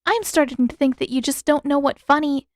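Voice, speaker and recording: Woman playing a high-voiced, mopey teenage girl. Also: a little fast, dry recording, clean studio sound, natural voice. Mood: teasing. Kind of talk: audiobook character acting.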